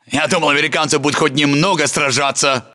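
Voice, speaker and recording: A confident, hard-edged soldier in a war game, snapping out reports and orders. The voice is high for a man, bright and forceful. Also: fairly even intonation, quick pace, a little hiss or hum. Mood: focused, confident. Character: hard confident Russian soldier hunting the enemy. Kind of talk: military game voice lines, clipped reports.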